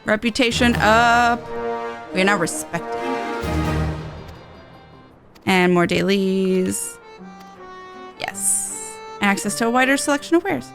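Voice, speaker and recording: A woman's voice: mid-range voice, takes it slowly, long pauses. A woman streaming a video game, giving casual commentary as she plays. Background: game music.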